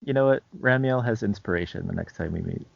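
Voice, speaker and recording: A man's voice: lowish voice, animated, quick pace, creaky, no echo, highs cut off. A man co-hosting a podcast in easygoing, casual chat. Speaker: podcast co-host.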